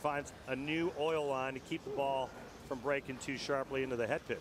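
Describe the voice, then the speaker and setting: A man's voice: mid-range voice, little pitch movement, normal speed. A man explaining bowling technique at length as a color commentator. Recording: TV broadcast with arena noise behind.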